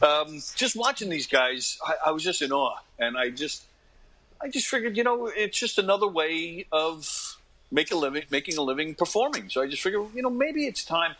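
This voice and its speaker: An American man in his fifties, an interview guest, talking casually in a rambling, self-deprecating way and often clearing his throat. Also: fairly high pitch, sing-song, moderate pace, sharp tone, low-quality recording. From Long Island, New York, United States. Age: 50s. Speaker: interview guest, actor and comedy performer. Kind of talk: casual conversational storytelling.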